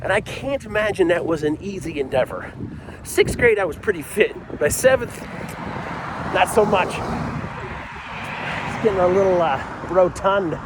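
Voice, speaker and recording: A man's voice: high voice, lively intonation, a little slow, long pauses, noisy background. A middle-aged American man telling a comic personal story in a casual, rambling, self-deprecating way with frequent asides.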